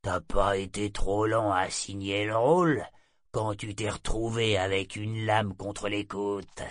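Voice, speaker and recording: Guy doing a sloshed old sailor, mumbly and slurry, kinda high and slow. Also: expressive, no room sound, clean studio sound. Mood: boozy, rambling. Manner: slurred, mumbling drunken talk. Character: drunk old male sailor, gap-toothed, boastful.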